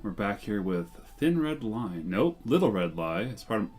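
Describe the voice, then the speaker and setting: A man's voice: on the low side, very animated, normal speed, darkish tone, husky. A man giving casual gameplay commentary, wry with joking asides. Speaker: game streamer.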